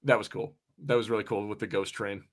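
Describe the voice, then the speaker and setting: A man's voice: on the low side, steady tone, fast talker. A man co-hosting a casual watch party podcast, reading out comments and talking in a rambling, self-correcting, sometimes profane way. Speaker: podcast co-host.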